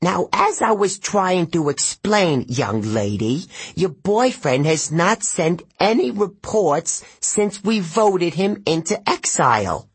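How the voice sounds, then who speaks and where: A man's voice: high-pitched, big pitch swings, slow pace, few pauses, slightly rough, no echo, voice pushed up, exaggerated voice. A man voicing a fussy, cowardly, very old and fat male satyr, whiny and indignant.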